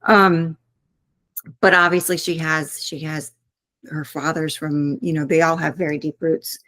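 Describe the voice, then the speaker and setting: A woman with a lowish voice talks as the guest of an interview, reflective and earnest, working her thoughts out aloud. The sound is dry and close, with little room in it. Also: a bit bright, no echo. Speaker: author guest. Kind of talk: interview conversation.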